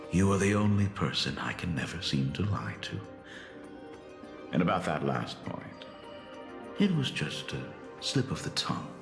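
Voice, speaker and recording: An actor playing a sly, menacing game villain posing as someone's father, with theatrical, taunting, drawn-out delivery. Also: low-pitched, sing-song, gravelly, full character voice. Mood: feigned innocence. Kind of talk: dramatic video game acting. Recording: echoey hall reverb. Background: music.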